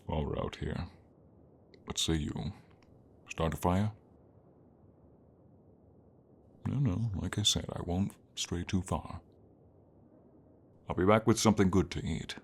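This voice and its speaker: A man voicing a friendly, noble silver dragon in an ASMR fantasy roleplay, speaking in an unhurried, thoughtful and slightly formal way with pauses. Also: low voice, vocal fry, a little hiss or hum. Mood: gentle, quiet. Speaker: voice actor. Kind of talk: ASMR fantasy roleplay, slow intimate storytelling. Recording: ambient nature sounds, crickets, light rain.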